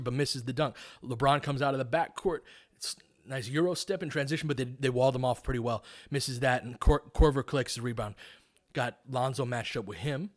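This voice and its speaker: A man calling live basketball play-by-play in a casual, conversational, analytical way. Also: mid-range voice. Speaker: play-by-play host.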